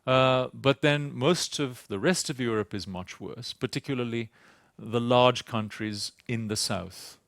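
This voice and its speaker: A man with a British accent giving a conference panel talk, hesitant and halting, often repeating words and pausing on "uh". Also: mid-range voice, vocal fry, a bit bright, no room sound, clean studio sound. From Sri Lanka. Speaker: panel speaker. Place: conference venue.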